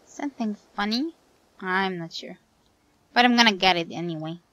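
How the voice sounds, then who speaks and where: A woman's voice: mid-range voice, normal speed, fairly bright tone, no echo. A woman whose first language is German, chatting casually while she plays a game. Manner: chatty, thinking aloud. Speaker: streamer. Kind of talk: casual gameplay commentary.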